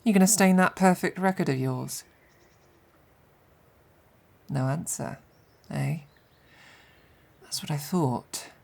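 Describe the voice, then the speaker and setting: A woman's voice: low-pitched, slow, gravelly, long pauses, darkish tone, a little hiss or hum, full character voice. A woman playing a defiant, crude and cocky female bandit in a fantasy audio roleplay, sneering and taunting as she speaks.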